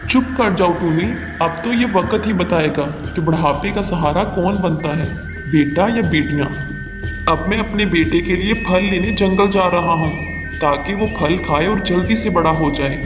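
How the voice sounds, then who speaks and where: A man's voice: sits high, moderate pace, close to an everyday voice. A man voicing a harsh, domineering father bird in a moral-story cartoon, with a scolding, boastful way of talking. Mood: irritated, scornful. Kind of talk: Hindi cartoon voice acting. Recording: reverberant hall sound over music.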